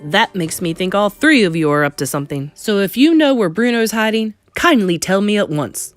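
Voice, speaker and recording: A woman's voice: mid-range voice, lively intonation, normal speed, faint music behind, close to an everyday voice. A woman voicing a pompous, outraged middle-aged English father in a storybook, spluttering with indignation. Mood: angry suspicion.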